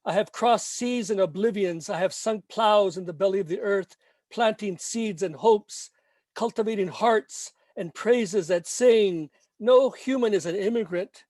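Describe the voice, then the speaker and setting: High-voiced man reading protest poetry. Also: a little mellow, no echo. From Mexico. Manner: slow chanting repetition, emphatic. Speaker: poet.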